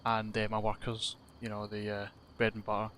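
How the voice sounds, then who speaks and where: A man's voice: fairly low pitch, completely flat delivery, moderate pace, fairly bright tone, a little hiss or hum. A young Scottish man giving rambling, self-deprecating commentary over a game he is playing, swearing casually. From Scotland. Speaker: gaming YouTuber.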